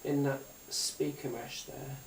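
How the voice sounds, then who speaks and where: A man's voice: mid-range voice, fairly even intonation, slow. A man giving a casual, unscripted gadget demonstration in a rambling, hesitant way, often repeating his phrases. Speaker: gadget review YouTuber. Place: indoors at a tabletop, away from the mic. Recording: roomy, distant mic, faint hiss and clicks.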